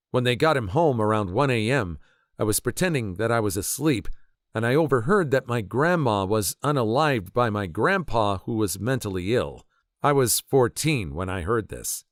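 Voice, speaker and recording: Lively man narrating stories. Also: mid-range voice, no echo, clean studio sound. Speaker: story narrator. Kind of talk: reading internet stories aloud.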